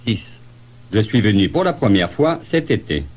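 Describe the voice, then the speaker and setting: A man playing a polite traveller in a scripted language-lesson dialogue, giving deliberate answers. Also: lowish voice, big pitch swings, a little mellow. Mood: polite, matter-of-fact.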